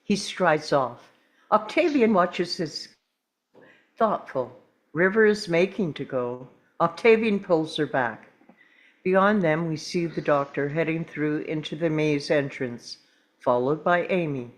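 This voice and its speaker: Slow, measured woman reading stage directions. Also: sits low, animated. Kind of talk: scripted narration at a table read.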